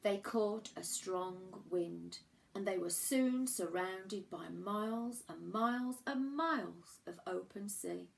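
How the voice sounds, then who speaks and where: A woman reads a picture book to young children in a slow, creaky voice of middling pitch. She narrates like a primary school teacher, leaning on key words and pausing for drama. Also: slight background noise. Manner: measured, dramatic emphasis and pauses for young listeners. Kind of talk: expressive children's storybook narration.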